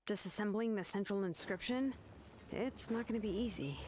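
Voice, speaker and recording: A woman's voice: medium pitch, moderate pace, darkish tone, a little hiss or hum, natural voice. A woman voicing a frank, composed young craftswoman in a video game, matter-of-fact but caring, with music behind her. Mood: casual, matter-of-fact.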